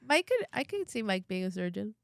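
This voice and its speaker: A woman's voice: medium pitch, big pitch swings, brisk, gravelly, no room sound, clean studio sound. A woman co-hosting a podcast in casual, conversational chat. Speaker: podcast co-host.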